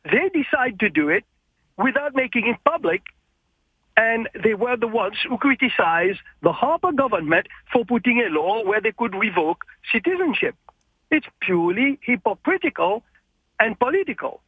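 High husky man on a phone line. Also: moderate pace, no room sound. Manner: animated, insistent, repeats points. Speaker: caller.

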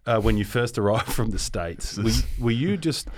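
A man's voice: fairly low pitch, expressive, normal speed, a little hiss or hum. An Australian man hosting a podcast, interviewing casually in a cheeky, teasing, blokey manner. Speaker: podcast host.

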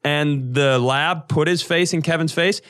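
A young man with a slightly high-pitched voice chats as a podcast co-host. He tells comic stories in a loose, joking way and acts out what people said. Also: measured pace, a bit bright, clean tone. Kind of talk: casual comic chat and storytelling.

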